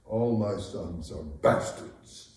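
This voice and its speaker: A man's voice: deep voice, takes it slowly, darkish tone. A man acting a proud, bitter, aging king in a staged dramatic reading, with a theatrical, impassioned delivery. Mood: bitter, exasperated. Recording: roomy, echoing hall, distant microphone.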